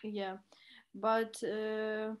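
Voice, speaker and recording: A woman's voice: medium pitch, little pitch movement, drawn-out words. A young woman with a Ukrainian accent, a student answering in an interview, very hesitant as she searches for words in a language that is not her first. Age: young adult. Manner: very hesitant, searching for words in non-native English. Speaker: university student, interview guest.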